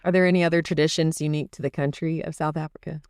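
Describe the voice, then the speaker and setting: A young man hosting a campus podcast, talking in a relaxed, informal way. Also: on the high side, very fast, smooth, no echo, studio quality. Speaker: podcast host. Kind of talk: casual podcast chat.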